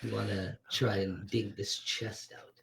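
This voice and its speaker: A man's voice: lowish voice, little pitch movement, unhurried, vocal fry, fairly bright tone, a little hiss or hum. A man narrating a tabletop game as game master, describing scenes hesitantly while he searches for words.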